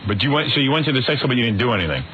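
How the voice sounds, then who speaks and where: A man's voice: medium pitch, expressive, racing, slight background noise. A man hosting a radio talk show, teasing his guest with mocking, prodding questions. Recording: muffled old radio broadcast.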